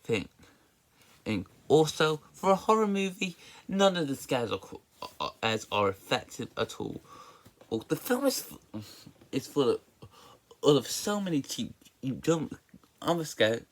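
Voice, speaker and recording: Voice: mid-range voice, very animated, unhurried. A person giving a casual, opinionated movie review in an exasperated, emphatic way, often stammering and repeating words and syllables.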